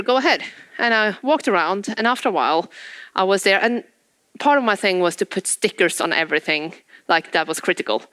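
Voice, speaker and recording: A woman with a Norwegian accent speaks to an audience in a lively storytelling manner. She acts out little exchanges and laughs between anecdotes. Her voice is slightly low and smooth, recorded close and dry. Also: dry recording. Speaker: conference speaker.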